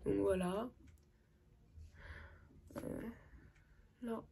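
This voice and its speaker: A young woman with a cold, chatting excitedly and casually through a makeup tutorial, with lots of slang and trailing sentences. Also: medium pitch, drawn-out words, creaky, slight background noise. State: has a cold. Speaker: makeup vlogger.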